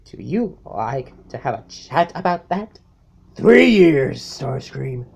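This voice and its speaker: A man's voice: mid-range voice, sing-song, slow pace, creaky, darkish tone, husky, full character voice. A man voicing a domineering robot warlord in a cartoon-style comic dub, delivering theatrical, menacing monologues full of cold mockery. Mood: mocking. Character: domineering robot warlord, male, coldly mocking his underling. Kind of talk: amateur comic dub acting.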